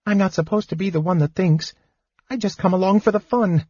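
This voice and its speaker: A man voicing a small, earnest male kender who rushes his words and then turns sober. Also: high voice, darkish tone, slightly rough, no room sound, highs cut off, voice pushed up. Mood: wistful. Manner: eager rushing speech turning sober.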